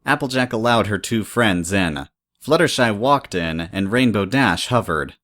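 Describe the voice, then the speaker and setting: A woman's voice: a bass voice, animated, unhurried, a bit bright. A woman reading scripted third-person story narration as the narrator.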